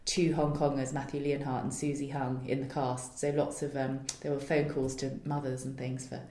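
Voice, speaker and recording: A woman's voice: extremely low pitch, little pitch movement, normal speed, dark tone, reverberant. A woman playwright answering interview questions earnestly, searching for her words and laughing lightly now and then.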